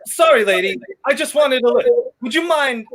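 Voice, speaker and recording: A man's voice: very high voice, animated, moderate pace, a bit bright, rough recording. A young man playing a debonair, wry fugitive in a 1930s radio play, with a clipped theatrical delivery. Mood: breezy, teasing. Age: young adult. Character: debonair, wry wrongly accused man on the run.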